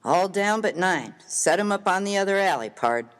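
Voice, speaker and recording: A man playing a rough, hearty, good-natured American miner of the Old West in a dramatised story reading, with slangy, folksy, emphatic speech. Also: sits high, expressive, moderate pace, a bit bright. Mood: puzzled, folksy.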